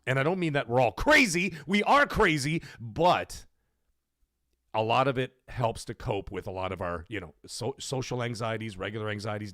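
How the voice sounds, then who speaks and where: A man hosting a casual livestream, loudly thanking chat supporters between serious talk. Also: mid-range voice, no echo. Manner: hyped, shouts out chat supporters. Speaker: livestream host.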